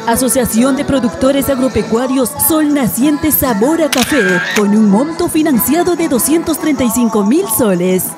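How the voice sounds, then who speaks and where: A woman reading a scripted news voice-over, clear and measured as she lists names and sums. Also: sits high, expressive. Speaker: news narrator. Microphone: studio voice-over mic. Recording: voice-over over loud music.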